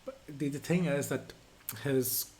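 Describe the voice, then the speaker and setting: A native Urdu-speaking man co-hosting a song reaction, talking in a reflective, halting way and often repeating words. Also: medium pitch, a little mellow. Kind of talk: casual reaction chat.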